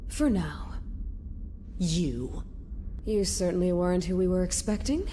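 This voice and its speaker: A woman's voice: fairly low pitch, lively intonation, a little slow, warm tone, slight background noise. A woman voicing a contemptuous, menacing alien villain in a video game, imperious and scornful. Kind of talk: animated game cutscene acting.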